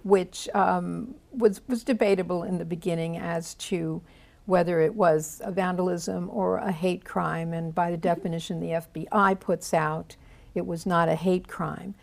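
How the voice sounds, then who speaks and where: Low gravelly woman moderating a panel. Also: fairly even intonation, a little slow. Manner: recaps news, poses questions to guests. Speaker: host.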